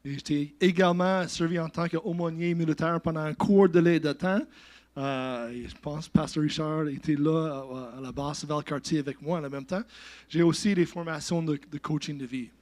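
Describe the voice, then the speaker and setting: Halting man from Labrador, higher voice. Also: fairly even intonation, a little mellow. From Labrador, Canada. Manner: halting, searching for words, self-correcting. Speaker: guest speaker, former military chaplain. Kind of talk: church introduction.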